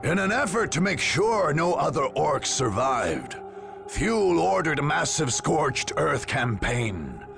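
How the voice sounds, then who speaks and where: An actor playing a grave narrator of a war chronicle in a video game, delivering dramatic scripted lines in a portentous, measured way. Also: male voice, medium pitch, gravelly, heavily acted.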